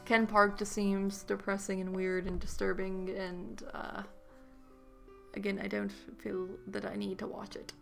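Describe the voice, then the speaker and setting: Woman podcaster w lots of fry, blunt slangy chat, faint music behind. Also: medium pitch, level tone, measured pace. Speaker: podcast co-host. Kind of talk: casual banter.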